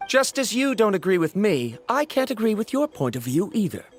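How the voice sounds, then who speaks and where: High-voiced, prickly young scholar. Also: male voice, big pitch swings, a little fast, soft background music. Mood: argumentative. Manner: stiff, formal, argumentative. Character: proud, stubborn young male scholar, prickly and intense. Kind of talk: animated game voice acting.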